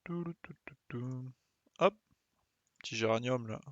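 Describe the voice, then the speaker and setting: A man's voice: mid-range voice, measured pace, no echo, muffled recording. A man giving casual live commentary as he plays a game, muttering short interjections as he thinks aloud. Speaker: game streamer.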